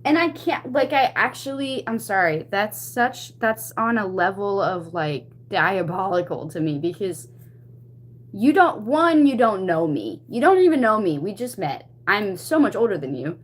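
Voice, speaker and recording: A woman with a medium-pitched voice tells a personal story to her livestream viewers. Her delivery is casual and chatty. She gets animated as she goes, in the loose manner of someone talking to friends. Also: measured pace, slightly rough. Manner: animated, chatty retelling with acted-out quotes. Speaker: livestream host. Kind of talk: casual anecdote to stream viewers.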